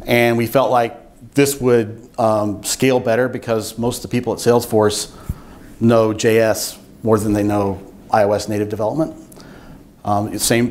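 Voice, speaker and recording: A man's voice: on the low side, darkish tone, a little hiss or hum. Older man giving a technical talk. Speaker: software engineer giving a talk. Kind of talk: informal technical presentation. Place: meetup room with seated audience, indoors.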